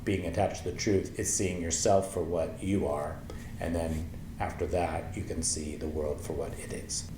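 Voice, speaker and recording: Low-voiced man teaching yoga calmly. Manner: slow, gentle, thoughtful, with many ums. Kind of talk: calm spoken yoga lesson with chanting. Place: small wooden-floored room with paper screen, indoors. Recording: echoey room, faint ambient noise.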